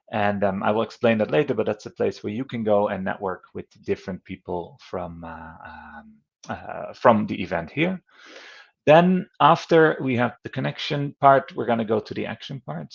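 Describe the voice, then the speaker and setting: Male moderator from the Netherlands, 30s, low gravelly voice, friendly and rambling, muffled video-call sound. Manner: friendly, rambling with many fillers. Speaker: event moderator. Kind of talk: online event hosting.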